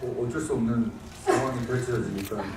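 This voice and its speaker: Laid-back actor man in an echoey cinema. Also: mid-range voice. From Busan, South Korea. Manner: relaxed, laughs often, hesitant pauses. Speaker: film actor at an audience Q&A. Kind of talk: casual answers to audience questions. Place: large cinema auditorium. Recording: echoey cinema hall, distant, noisy.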